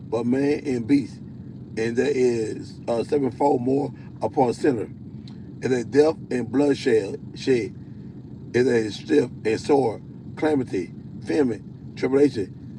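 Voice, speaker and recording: A man with a deep voice preaching on a livestream. He reads scripture slowly, stumbling and repeating words. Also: noisy background. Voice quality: self-described deep voice. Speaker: self-styled prophet hosting a livestream.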